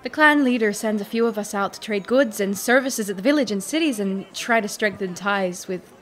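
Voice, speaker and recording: A woman playing a gentle, modest elven market trader in an audio drama. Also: medium pitch, a little fast, natural voice. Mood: guarded, troubled. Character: gentle young elven woman trader, friendly but guarded.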